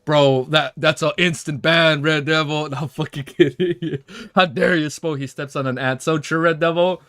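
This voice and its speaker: A man's voice: a little high, steady tone, normal speed, a bit bright, dry recording, studio quality. A young man in his twenties hosting a livestream, chatting casually and reading a novel aloud, rambling and swearing with easy laughs. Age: 20s. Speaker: livestream host.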